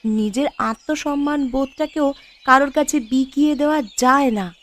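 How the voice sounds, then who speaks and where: A woman's voice: sits high, normal speed, lightly acted. A woman voicing a proud, outspoken poor young wife in a moral-tale cartoon, with emphatic, dramatic delivery. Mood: hurt, firm.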